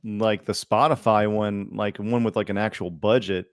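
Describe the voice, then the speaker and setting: Lowish male co-host, casual. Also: steady tone, moderate pace, no room sound, clean studio sound. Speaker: podcast co-host. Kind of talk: casual chat.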